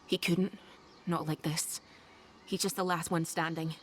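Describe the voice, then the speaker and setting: A woman's voice: lowish voice, level tone, unhurried, a little hiss or hum, natural voice. An actress playing a grim, knowing female adventurer in a video game, blunt and sombre. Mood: blunt, resigned. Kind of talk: video game character acting.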